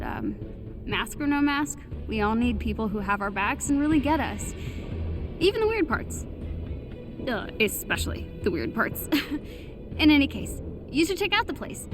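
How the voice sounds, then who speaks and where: A teenage girl superhero in a video game, high-voiced and full of bounce. She sounds earnest, casual and enthusiastic, with music playing behind her. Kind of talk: video game cutscene acting.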